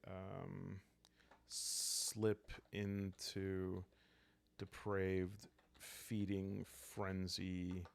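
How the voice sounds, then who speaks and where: A man calmly thinking aloud in an unhurried, casual way as he plays and narrates a solo roleplaying game. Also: low voice, creaky. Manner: calm, unhurried, musing, meant for relaxed listening. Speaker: host and solo player of a journaling roleplaying game.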